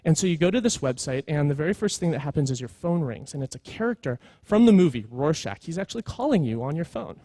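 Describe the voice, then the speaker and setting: Man giving a talk, lighter-pitched mellow voice. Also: lively intonation, fast talker, few pauses, no echo, poor audio. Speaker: conference speaker, creative executive. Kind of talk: conference presentation, conversational storytelling.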